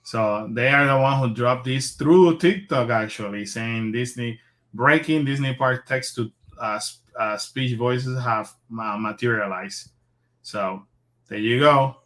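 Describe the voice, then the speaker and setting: A man from Puerto Rico hosting a casual livestream, chatty and relaxed, with a lot of filler words. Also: medium pitch, a little slow. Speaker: host.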